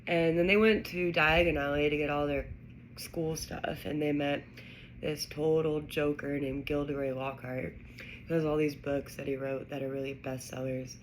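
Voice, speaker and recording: A woman's voice: sits low, steady tone, moderate pace, slight background noise, rough recording. A woman reading to a child, talking casually with chatty, informal asides. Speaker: storyteller reading a children's book aloud to a child. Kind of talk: casual chat and read-aloud narration.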